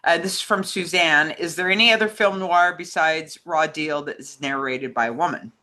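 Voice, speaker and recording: Bright-voiced American woman co-host. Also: low voice, normal speed. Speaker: co-host reading fan questions. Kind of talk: casual chat, reading out questions.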